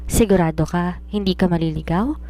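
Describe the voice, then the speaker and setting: A woman's voice: on the low side, brisk, mellow, natural voice. A woman storyteller voicing a female judge who runs her household, practical and matter-of-fact as she gives instructions. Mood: practical, checking.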